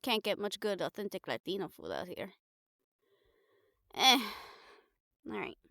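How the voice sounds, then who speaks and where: A woman's voice: medium pitch, wildly swooping pitch, measured pace, gravelly, pushed and bright, no room sound, full character voice. A woman playing a wry, affectionate, bossy girlfriend in an improvised, intimate audio roleplay, talking casually with slangy teasing and swearing. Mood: playfully insistent. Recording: music behind the voice.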